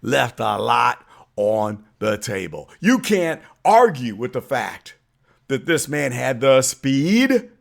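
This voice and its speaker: A hoarse man hosting a sports vlog, arguing his point with emphatic, call-out energy. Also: mid-range voice. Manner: emphatic, preachy, calls people out. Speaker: channel host. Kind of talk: fired-up sports opinion vlog.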